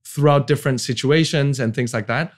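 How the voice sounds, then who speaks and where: A man's voice: mid-range voice, fairly quick. A man in his forties from Hong Kong, a venture capital founder, talking casually in an interview with many fillers and restarts. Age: 40s. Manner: relaxed, many fillers and restarts, laughs easily.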